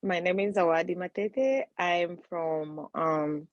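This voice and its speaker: Halting woman from Tanzania on a call. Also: lowish voice, dry recording. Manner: halting, unhurried, searching for words. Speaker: founder of a women's organization. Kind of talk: casual talk on a video call. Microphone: video call. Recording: dull, dry video call audio.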